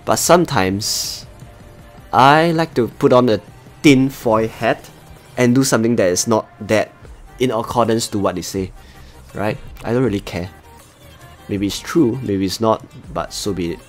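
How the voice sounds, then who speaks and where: A man's voice: on the low side, unhurried, long pauses, faint music behind. A man from Singapore with a Singaporean accent, chatting casually to viewers as he hosts a live game stream. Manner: chatty, talks to viewers as he plays. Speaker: livestream host.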